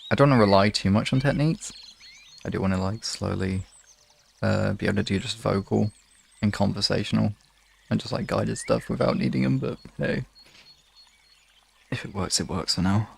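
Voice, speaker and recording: A man's voice: lowish voice, moderate pace, vocal fry, pauses often, a little hiss or hum. A man chatting casually on a live stream in a hesitant, rambling, self-questioning way, as host of relaxation sessions. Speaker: hypnosis ASMR streamer.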